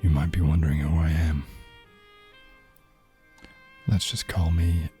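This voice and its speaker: A man voices a shadowy noir villain who talks in an extremely deep, unhurried murmur, partly whispered. Faint music plays softly underneath. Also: flat delivery. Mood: cold menace. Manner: slow, soft, partly whispered villain speech. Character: cold shadowy male crime entrepreneur, calm and menacing. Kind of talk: ASMR noir roleplay.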